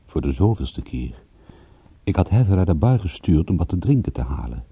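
A man's voice: sits low, sing-song, normal speed, vocal fry, husky, dry recording, heavily acted. An actor playing a wry, observant male private detective who narrates and converses in a dry, sardonic radio-drama style. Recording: dull, muffled old radio-play recording.

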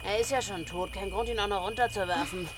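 A woman's voice: mid-range voice, fast, background noise, lightly acted. An actress in a radio drama playing a tough, foul-mouthed woman killer who is commanding, cynical and sardonic. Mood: bossy, dry. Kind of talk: modern radio drama acting.

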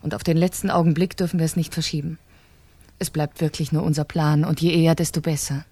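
Low-voiced, scheming, impatient wife. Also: female voice, little pitch movement, fast talker, warm tone, no room sound. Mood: insistent, determined. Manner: insistent, clipped, calculating. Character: cold, impatient wife plotting her husband's murder. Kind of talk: 1970s German crime radio play acting.

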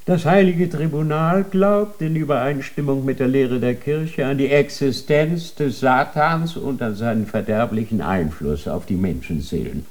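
Cold, formal tribunal inquisitor for a radio drama, played by a man, with a steady mid-range voice. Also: medium pitch, unhurried, few pauses, close to an everyday voice. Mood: stern. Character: stern male inquisitor of a holy tribunal.

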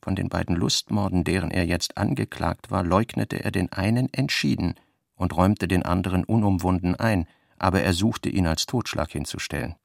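Brooding male novelist, gravelly and deliberate, close dry sound, literary radio drama. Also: deep voice, no echo. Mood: sober, grave. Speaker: radio play reader. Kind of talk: literary radio reading of narration and working notes.

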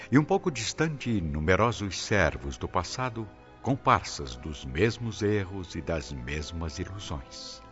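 A man narrating a radio drama from a script in a solemn, dramatic style. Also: low voice. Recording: muffled, music behind.

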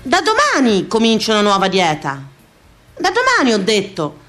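A high-pitched woman who is forever on a diet, wry and self-mocking. She declaims like a stage comic in a radio monologue, pausing for effect, with music playing behind her. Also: huge pitch swings, fairly bright tone. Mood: self-mocking, rueful.

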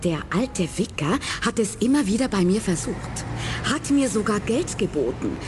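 An actress playing a tough young community nurse in a radio play, blunt and matter-of-fact. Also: female voice, medium pitch, sing-song, normal speed, natural voice. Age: young adult. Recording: faint background noise.

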